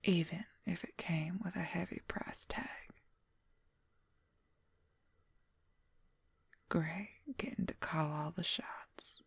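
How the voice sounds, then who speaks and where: A woman performs as a possessive werewolf woman in an ASMR roleplay. She whispers slowly with a very husky, breathy voice, intimate and wry. The sound is close and dry. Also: on the low side, fairly even intonation, no echo, full character voice. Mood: resigned, wry. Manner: slow, intimate, confiding. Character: possessive female werewolf, former lawyer, wry intimate lover. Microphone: close microphone, dry.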